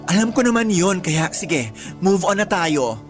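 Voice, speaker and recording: A young woman teases and prods like a sarcastic best friend in a radio drama. Her voice sits a little low and is very animated, with slangy banter over faint music. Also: a little slow. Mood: curious. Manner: teasing, slangy Taglish banter. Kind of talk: Tagalog radio drama, natural conversational acting.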